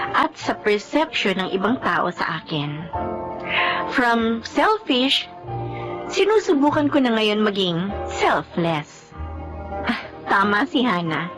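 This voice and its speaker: A woman playing an earnest, remorseful young woman in a radio drama. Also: on the high side. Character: earnest, remorseful young woman named Anika, reforming herself. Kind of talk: radio drama dialogue and reflective narration.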